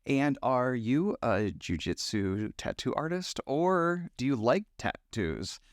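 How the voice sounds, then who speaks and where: A man's voice: medium pitch, sing-song, slow, dry recording. Easygoing male podcast host, dry sound. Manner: friendly, easygoing questioner. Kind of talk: casual interview chat.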